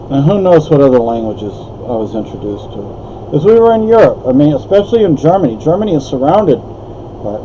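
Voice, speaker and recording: Older man, Spanish first language, warm low-key delivery, wanders off on tangents and restarts his sentences. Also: muffled recording, slight background noise. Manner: meandering, with frequent restarts and asides. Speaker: vlogger. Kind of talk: rambling personal monologue.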